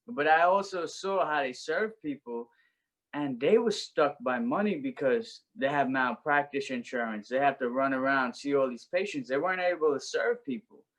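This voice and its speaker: A man's voice: medium pitch, normal speed, fairly bright tone, poor audio. Earnest young New man. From Brooklyn, New York. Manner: earnest, reflective storytelling. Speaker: guest, life coach. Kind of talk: personal story in an interview.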